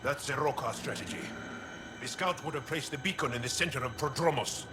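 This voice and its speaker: A man's voice: mid-range voice, fairly quick, background noise, lightly acted. A man playing a wary, seasoned alien resistance fighter in a video game, speaking seriously and with care. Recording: music behind the voice.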